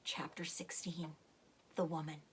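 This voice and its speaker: A woman reading a children's book aloud in an expressive, dramatic way, with short asides about the pictures. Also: low voice, a little slow, a little hiss or hum. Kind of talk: animated storybook read-aloud with picture asides.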